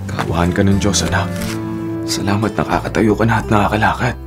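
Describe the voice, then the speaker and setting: A man's voice: on the low side, a little fast, over loud music, natural voice. An actor playing a worried, humble working-class father who pleads, in a Philippine radio drama.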